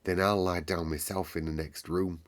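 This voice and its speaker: A man's voice: sits low, little pitch movement, normal speed, close to an everyday voice. A man voicing a sleepy young woman nurse in a storybook, hesitant and reluctant. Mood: weary.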